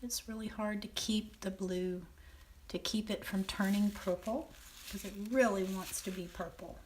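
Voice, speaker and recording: A woman with a Southern American accent and a raspy, half-whispered voice, calmly talking through a painting demonstration and often clearing her throat. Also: mid-range voice, a little hiss or hum. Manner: unhurried, thinking aloud while painting, frequent throat clearing. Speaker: painting teacher.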